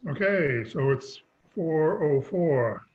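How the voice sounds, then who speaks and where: A man with a fading, husky voice chairs a town sewer commission meeting over a video call, stumbling through a scripted opening. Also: a little high, animated, slow, a little mellow. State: losing his voice. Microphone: remote video call. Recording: dull lo-fi call audio.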